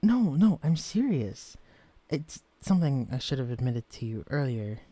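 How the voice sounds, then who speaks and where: A man voicing a gentle, shy young man who has been shrunk to tiny size, speaking softly and stammering when flustered. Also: medium pitch, sing-song, moderate pace, no echo. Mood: tender. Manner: soft-spoken, hesitant, stammering when flustered. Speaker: character in a listener-directed romance audio. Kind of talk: audio roleplay drama.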